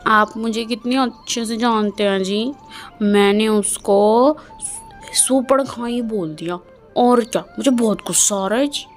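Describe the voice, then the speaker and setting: Woman putting on a mother-in-law, quarrelsome, indignant, complaining, slow, higher voice. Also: soft background music, lightly acted. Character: quarrelsome middle-aged mother-in-law, easily angered.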